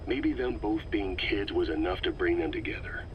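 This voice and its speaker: An actor playing a calm, middle-aged male agent who methodically explains a conspiracy to the listener on a cassette tape. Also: low-pitched, moderate pace, crowd noise. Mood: measured. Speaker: intelligence briefer on a cassette tape. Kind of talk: scripted game voice acting. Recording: slightly muffled cassette tape recording.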